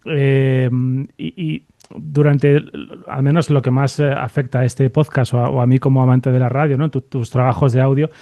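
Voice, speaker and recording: A man interviewing a guest conversationally as podcast host, in halting phrases full of repeated tag questions. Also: medium pitch, flat delivery, mellow, no echo, studio quality.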